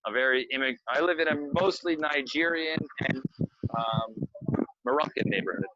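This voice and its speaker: A man's voice: mid-range voice, normal speed, sharp tone, no room sound. A man guiding a live virtual walking tour outdoors, chatty and rambling, talking into a phone. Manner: rambling, chatty, self-interrupting. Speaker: tour guide. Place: outdoor city street and cafe. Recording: dull, lo-fi video call audio.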